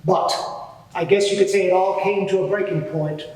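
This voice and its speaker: A man playing an indignant, self-righteous high priest giving pompous, deliberate testimony in a dramatised courtroom inquiry. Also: fairly even intonation, a little mellow, lightly acted. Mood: aggrieved. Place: large courtroom before a live audience. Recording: very echoey hall, distant mic.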